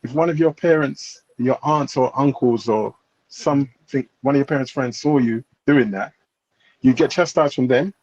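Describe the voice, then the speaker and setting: A man's voice: medium pitch, normal speed, darkish tone, dull audio. A man who grew up in England, a panel guest telling stories and checking in with his listeners. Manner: anecdotal, checks in with listeners, drops his voice then rises. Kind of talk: storytelling in a panel discussion.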